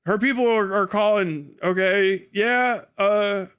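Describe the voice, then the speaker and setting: A man's voice: high voice, slow pace, fairly bright tone, clean tone. A man hosting a livestream, reading aloud and riffing in a casual, incredulous, foul-mouthed way. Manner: acts out mocking imagined dialogue. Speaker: livestream host and commentator.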